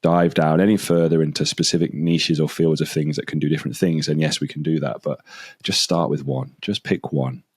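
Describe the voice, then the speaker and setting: A man with an exceptionally low, deep voice hosts a podcast interview in a relaxed, rambling way, leaning on "like" and saying things twice. The sound is close and dry, with no room around him. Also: brisk, breathy edge, no echo. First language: English. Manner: chatty, loose, fills with "like" and repeats himself. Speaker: podcast host. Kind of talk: casual interview chat.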